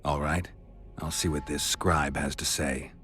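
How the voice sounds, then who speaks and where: An actor playing a laconic, world-weary monster hunter in a video game, speaking in a gravelly voice with a terse, guarded deadpan. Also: male voice, extremely low pitch, a little slow. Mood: dryly agreeable. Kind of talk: video game cutscene voice acting. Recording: music behind the voice.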